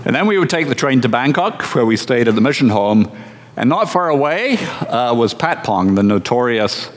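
Pastor guy giving a sermon, calm chatty delivery, dry jokes, big echoey church. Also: mid-range voice. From Scotland. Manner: measured and conversational, with dry humor.

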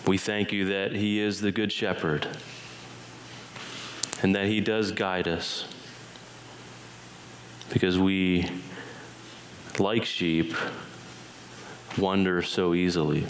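A man leading a congregation in a slow, reverent spoken prayer, phrase by phrase. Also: low voice, little pitch movement, slight background noise, poor audio. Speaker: worship leader. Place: church sanctuary.

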